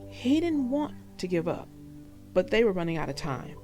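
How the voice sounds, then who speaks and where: A woman narrating for young children in an expressive, reassuring way, as if reading aloud and talking directly to them. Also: lowish voice, moderate pace, music in the background. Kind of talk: read-aloud storytelling and advice to young listeners.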